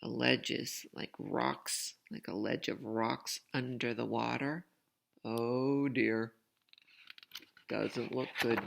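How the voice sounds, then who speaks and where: A woman's voice: rumbling low, fairly even intonation, takes it slowly, creaky. A woman storyteller reading a children's picture book expressively, with chatty asides to young listeners. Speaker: read-aloud storyteller.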